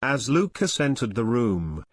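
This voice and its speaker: A man's voice: medium pitch, very animated, measured pace, no echo, studio quality. A man reading a scripted storybook tale as narration for English learners.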